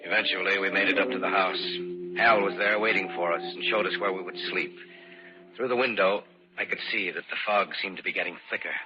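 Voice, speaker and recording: An actor playing a steady, concerned man who narrates his eerie adventure in an old-time radio drama. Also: low-pitched, brisk, over music, natural voice. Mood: somber narrating. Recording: dull, noisy old radio recording.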